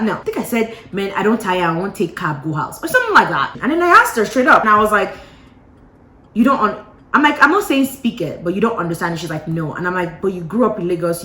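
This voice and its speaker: A woman vlogger casually telling a gossipy story to her viewers, animated and full of playful asides. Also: medium pitch. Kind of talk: casual gossip chat to camera. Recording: roomy echo.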